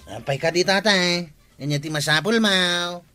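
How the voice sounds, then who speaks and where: A man's voice: high-pitched, moderate pace, sharp tone. An actor in a comedy radio drama playing an earnest, outspoken younger village man who questions and explains. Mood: concerned.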